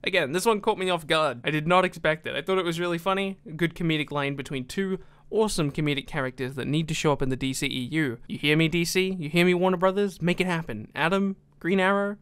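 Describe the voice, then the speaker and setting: Casting: young male gaming YouTuber, fairly high clean voice, casual fan enthusiasm. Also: fast, hardly any pauses, fairly bright tone, smooth.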